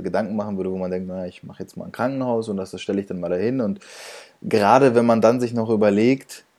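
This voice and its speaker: A man's voice: fairly low pitch, dark tone. A man hosting a podcast, chatting casually, laughing often and restarting his sentences. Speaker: podcast host. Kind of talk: casual interview chat.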